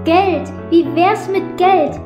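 Bossy girl pickpocket voiced by a woman, high voice, impatient tutor, echoey sound with music, kids' radio play. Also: fairly even intonation, takes it slowly. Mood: instructing. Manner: bossy, exasperated instructing. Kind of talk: children's audio drama acting. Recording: echoey room, music behind.

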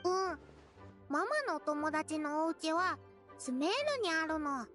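A woman's voice: high-pitched, a little slow, a bit bright, faint music behind. A woman voicing an innocent, eager little girl in an anime game, childlike and naive in manner. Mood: determined. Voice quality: childlike, cutesy. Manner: naive, refers to herself by name. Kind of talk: Japanese anime game acting.

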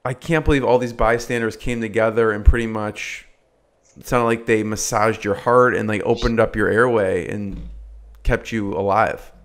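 A man's voice: on the low side, steady tone, moderate pace. A man hosting an interview, asking questions and encouraging his guest with short responses. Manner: attentive, encouraging, brief prompts. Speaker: podcast host. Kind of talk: interview conversation.

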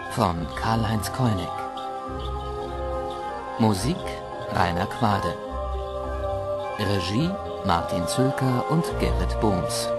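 A man announcing the title and credits of a radio play as a scripted announcer. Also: lowish voice. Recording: studio announcement over loud music.

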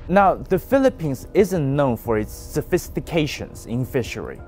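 A man's voice: on the high side, very animated, moderate pace, a little mellow, faint music behind. A young man presenting a scripted news commentary with an emphatic, pointed delivery.

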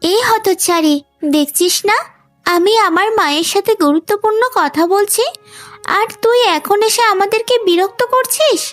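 A woman voicing a spiteful, sneering young unmarried sister-in-law in an animated moral story. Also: very high voice, moderate pace, faint music behind. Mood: scolding. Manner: sneering, scolding.

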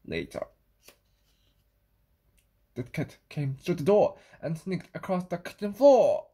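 A woman reading a children's picture book aloud, sometimes halting and repeating phrases. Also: on the low side, big pitch swings, dark tone, breathy edge. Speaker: storybook reader.

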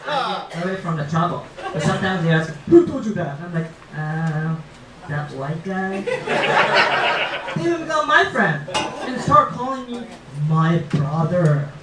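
A young man from Japan with a Japanese accent performs a stand-up comedy routine in halting, simple phrases. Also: high-pitched, sing-song, over a noisy crowd. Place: small comedy club stage, indoors. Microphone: handheld mic, picked up by far camera. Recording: echoey club, distant, audience noise. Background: audience laughter.

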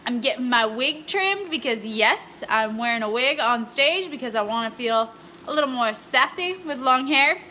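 A young woman with a high, bright voice talks casually to the camera like a fitness vlogger. She is upbeat and informal. The camera mic catches the echo of a room at home. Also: animated, moderate pace, a little hiss or hum. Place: indoors at home, kitchen and bedroom. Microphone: camera or phone a short distance away. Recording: roomy echo of home interior.

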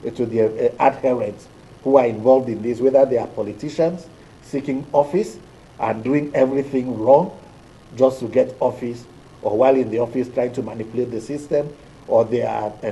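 A middle-aged Nigerian man, an electoral official, answering interview questions in a TV studio in a deliberate, hesitant way with many fillers. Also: mid-range voice, mellow, a little hiss or hum, low-quality recording. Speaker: electoral commission official, guest.

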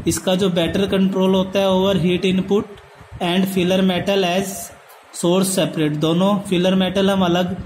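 Male lecturer, Indian accent, high voice, monotone delivery, step-by-step explaining, English terms mixed in. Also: a little hiss or hum, low-quality recording. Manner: switches between Hindi and English terms; repeats and rephrases points. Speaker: polytechnic lecturer. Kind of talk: lecture read from handwritten notes, explained step by step.

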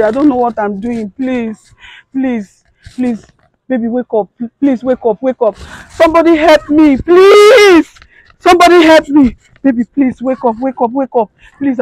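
A woman's voice: mid-range voice, darkish tone, dry recording, natural voice, low-quality recording. An actress playing a young wife who is worn down by her blind husband, talking in pleading, repeated phrases. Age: young adult. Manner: pleading, repeating words over and over. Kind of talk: dramatic skit acting.

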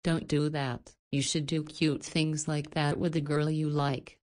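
Deep-voiced, teasing, confident woman. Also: level tone, unhurried, darkish tone. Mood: playful teasing. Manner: playful, knowing, forthright. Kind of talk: visual novel voice acting.

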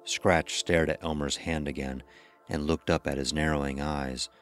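A man's voice: rumbling low, steady tone, normal speed, fairly bright tone, soft background music. A man narrating a scripted horror story as the narrator of an audio drama.

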